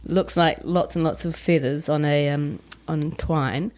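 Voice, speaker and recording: A woman's voice: deep voice, fairly even intonation, measured pace, darkish tone. A woman hosting a podcast interview, chatting in an admiring, encouraging way. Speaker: podcast host. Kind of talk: casual interview chat.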